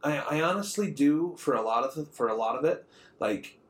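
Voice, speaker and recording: A man's voice: a little high, lively intonation, normal speed. A man of about thirty co-hosting a podcast in a rambling, conversational way. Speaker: podcast co-host. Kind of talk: casual chat.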